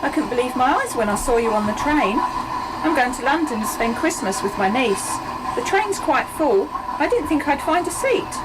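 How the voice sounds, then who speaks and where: A woman's voice: sits high, lively intonation, moderate pace. A woman acting a friendly, fussing lady train passenger in an amateur radio play, polite and full of exclamations. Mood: eager, polite. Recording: noisy community radio studio recording.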